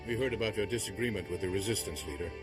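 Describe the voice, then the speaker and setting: An actor playing an earnest, respectful Jedi envoy in a video game, speaking politely and formally. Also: male voice, on the low side, rapid-fire, music in the background, natural voice.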